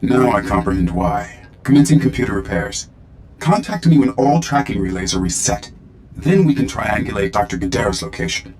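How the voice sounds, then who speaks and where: A man's voice: fairly low pitch, animated, normal speed, darkish tone, slight background noise, natural voice. A man voicing a formal, disdainful military droid in a video game, with stilted robotic phrasing. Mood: clipped, scornful. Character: disdainful, literal-minded military droid, loyal to its maker.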